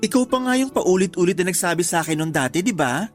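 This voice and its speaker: A man's voice: high voice, animated, quick pace, faint music behind. A man playing a frank, scolding confidant in a radio drama, with a blunt questioning manner. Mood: exasperated. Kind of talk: Tagalog radio drama acting.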